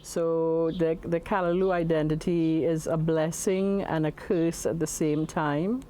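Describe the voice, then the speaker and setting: A woman's voice: sits low, steady tone, a little slow, dark tone, a little hiss or hum. A woman giving candid testimony in a documentary. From Trinidad. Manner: candid, uneven pace. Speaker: documentary interviewee.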